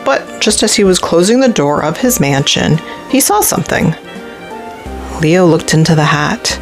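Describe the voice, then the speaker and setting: A woman reading a children's story aloud in expressive narration. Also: lowish voice, normal speed, soft background music. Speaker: storybook reader.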